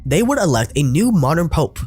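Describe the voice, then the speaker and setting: A man's voice: fairly high pitch, sing-song, a little fast, darkish tone, soft background music. A man narrating a scripted documentary in a wry, sarcastic way, his voice sounding a little sick.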